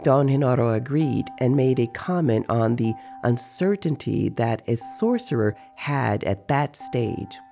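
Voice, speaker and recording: A storyteller narrates a literary tale at an unhurried pace. The voice is creaky and gravelly, with a mellow, dark tone. Faint music plays underneath the reading. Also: medium pitch, animated.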